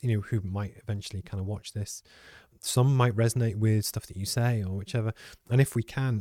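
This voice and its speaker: A man's voice: fairly low pitch, dark tone, no room sound, clean studio sound. A man hosting a podcast interview in a casual, conversational way. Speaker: podcast host.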